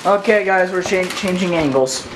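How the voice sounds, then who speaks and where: A teenage boy hosting a casual cooking vlog, talking haltingly with many fillers in a home kitchen, recorded by a far camera. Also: high voice. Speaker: vlog host. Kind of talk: casual chat. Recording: echoey kitchen from far off, noisy.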